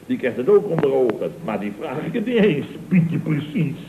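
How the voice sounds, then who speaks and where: Swooping, blustering company boss. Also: male voice, on the high side, very animated, moderate pace. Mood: self-pitying. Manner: theatrical, wheedling, rambling. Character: scheming, blustering, self-pitying male boss of a building firm. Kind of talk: radio comedy drama acting. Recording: dull old radio recording with hiss.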